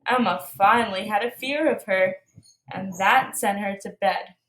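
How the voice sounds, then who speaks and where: A woman reading a children's storybook aloud with expressive narration, addressing young listeners. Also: mid-range voice, reverberant, rough recording. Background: light music.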